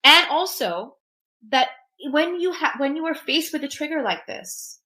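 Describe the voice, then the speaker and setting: A woman's voice: sits high, lively intonation, unhurried, bright, forceful tone. A woman whose first language is Urdu, talking through personal experiences and advice in an emphatic, reflective way and often checking in with "right?". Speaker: podcast guest, technologist.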